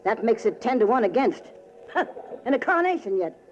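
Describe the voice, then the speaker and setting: A woman's voice: fairly high pitch, sing-song, fairly quick, a little mellow. Brash, wisecracking flophouse landlady. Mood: sardonic. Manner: brash, slangy, sardonic. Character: gruff, wisecracking, world-weary landlady of a flophouse. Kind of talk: 1940s radio drama acting. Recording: noisy, muffled 1940s radio broadcast.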